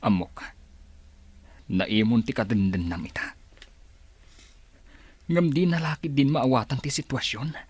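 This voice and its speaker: An actor playing a loving, weary middle-aged father in an Ilocano radio drama, pleading and breathy with emotion. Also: medium pitch, lively intonation, unhurried, pauses often, darkish tone, dry recording. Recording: music bed behind the voice.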